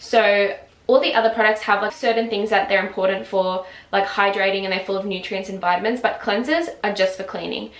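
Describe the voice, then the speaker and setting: Female, 20s, relaxed filler-heavy home vlog delivery, mid pitch, roomy sound. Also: fairly quick. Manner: chatty, lots of "like" fillers, laughs often. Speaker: beauty vlogger. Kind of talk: casual chat to camera. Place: home, just woken up. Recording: roomy echo.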